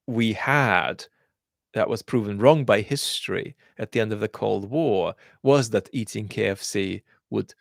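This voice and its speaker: A man whose first language is Russian, hosting a casual live Q&A and thinking aloud in a hesitant, reflective way with frequent pauses and restarts. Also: fairly low pitch, vocal fry, dry recording, clean studio sound. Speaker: host reading viewer questions.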